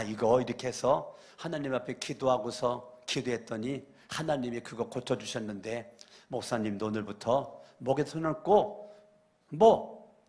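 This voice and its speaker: A male pastor preaching a church sermon, repeating phrases and asking the congregation questions, moving between loud exclamations and quiet asides. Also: medium pitch. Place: church sanctuary during a weekday service.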